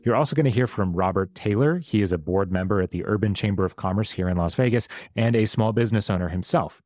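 A man's voice: fairly low pitch, fast, a little mellow, faint music behind. A man hosting a podcast, introducing his guests in a scripted way. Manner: announcing, introductory. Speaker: podcast host.

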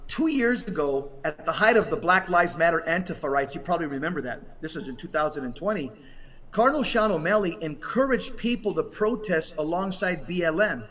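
A man giving religious commentary as a podcast guest, speaking emphatically and haltingly with restated phrases, over a video call. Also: a little high, a bit bright. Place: hotel room, on a remote connection.

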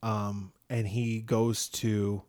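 A man's voice: lowish voice, little pitch movement, very slow, a little mellow. A man co-hosting a podcast, casually retelling a film's story and acting out bits of dialogue as he goes. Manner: conversational retelling with acted-out dialogue. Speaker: podcast co-host. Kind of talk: casual film discussion, retelling the plot.

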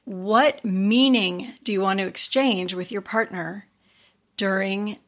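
A woman's voice: mid-range voice, takes it slowly. A woman co-hosting a podcast in casual banter, talking in a giggly, flustered way. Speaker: podcast co-host.

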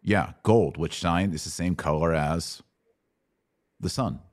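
A man's voice: low voice, slow pace. A middle-aged man hosting a live Bible Q&A, teaching conversationally and posing questions to check understanding. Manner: didactic, asks checking questions. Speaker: podcast host teaching the Bible.

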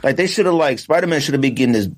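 A man's voice: mid-range voice, expressive, racing, a little hiss or hum. A man co-hosting a podcast in casual banter, teasing and arguing with slang. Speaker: podcast co-host.

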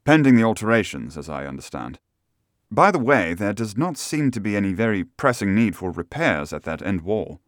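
Man acting gentleman detective, shrewd, authoritative, brisk, courteous, storybook reading, dry sound. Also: on the low side, big pitch swings, no room sound. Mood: brisk, probing.

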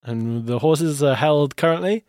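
A man's voice: fairly high pitch, unhurried, a little mellow, no echo, studio quality. A man co-hosting with whimsical, casual podcast banter. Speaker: co-host. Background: music.

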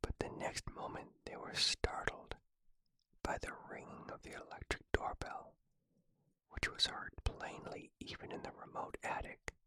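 A reader narrating a story entirely in a deliberate, unhurried whisper. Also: sharp tone, poor audio. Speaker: audiobook reader.

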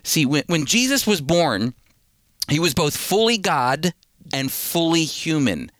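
Lively, earnest male radio Bible teacher. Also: a little high, a little slow, dry recording, clean studio sound. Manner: earnest, emphatic, repeats words when stressing a point.

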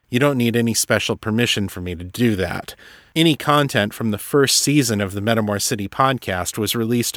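A man hosting a solo podcast, reading and answering listener letters in an articulate, measured, explanatory way. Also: lowish voice, fairly bright tone, dry recording, clean studio sound. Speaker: podcast host and novelist.

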